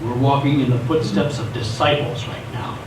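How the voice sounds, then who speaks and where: A man's voice: mid-range voice, fairly even intonation, moderate pace, close to an everyday voice. A man playing a cocky, bruised teenage livestreamer in a radio play, addressing his viewers with showy, slangy bravado. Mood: eager tour guide. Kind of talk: radio theatre acting. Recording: echoey stage, distant mic, noisy.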